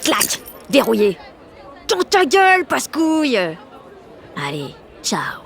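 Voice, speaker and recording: A woman's voice: high-pitched, huge pitch swings, slow pace, creaky, a crowd in the background, heavily acted. French teenage girl, sullen, sardonic, slangy and sweary audio-drama narration, street noise behind. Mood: scornful. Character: sullen, foul-mouthed sixteen-year-old French village girl narrating her life. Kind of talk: first-person audio fiction narration in teen slang.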